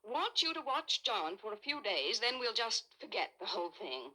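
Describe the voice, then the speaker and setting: An actress playing a wealthy, desperate and haughty wife in an old radio suspense drama, speaking with dramatic intensity. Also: female voice, fairly high pitch, sing-song, normal speed, bright, forceful tone, heavily acted, poor audio. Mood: haughty, guarded. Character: desperate, haughty wealthy wife narrating her own crime. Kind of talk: 1940s radio suspense acting, first-person narration. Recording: vintage radio recording with dramatic music behind.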